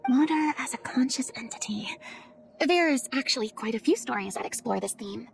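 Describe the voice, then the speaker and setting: A high-voiced young woman of the stage, with very animated, swooping intonation. She is gracious and refined, choosing polite, thoughtful words. Music plays underneath. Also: moderate pace, creaky. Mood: curious, thoughtful. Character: gracious, theatrical young woman, famed stage star turned consultant. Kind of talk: video game voice acting.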